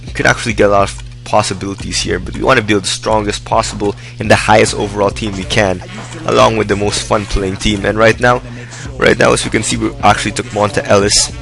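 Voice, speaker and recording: Hoarse low-voiced man narrating gameplay. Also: fairly even intonation, fast talker, a bit bright, faint music behind. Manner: rambling, upbeat play-by-play. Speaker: gaming video host. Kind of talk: casual game commentary. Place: indoors, hot room.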